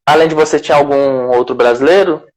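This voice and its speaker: A man hosts a relaxed live interview in a friendly way, laughing easily. His voice sits a little high for a man. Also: fast. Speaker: live-stream host.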